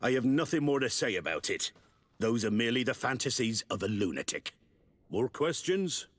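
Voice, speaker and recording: An actor playing a proud seafaring port commander in a fantasy video game, with formal, old-fashioned, salty phrasing. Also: male voice, mid-range voice, sing-song, normal speed. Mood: dismissive.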